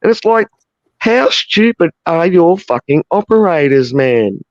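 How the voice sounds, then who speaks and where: An Australian woman with a deep voice calling into a livestream, telling a story bluntly and with plenty of swearing. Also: big pitch swings, measured pace, no room sound, lo-fi sound. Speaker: viewer caller. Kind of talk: casual chat.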